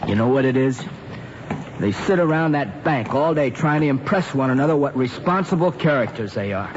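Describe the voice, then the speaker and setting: A man acting as a wisecracking American teenage pal in an old radio play. He talks in wry slang at a medium pitch. The sound is muffled and plainly noisy. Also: animated, moderate pace, natural voice. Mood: wry, commiserating. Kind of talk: mid-century American radio drama acting.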